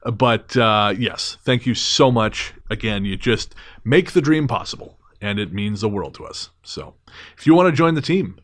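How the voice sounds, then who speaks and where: A man's voice: on the low side, lively intonation, moderate pace. A man of thirty-six hosting a podcast in a casual, chatty style, earnest with a wry joking streak. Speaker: podcast host.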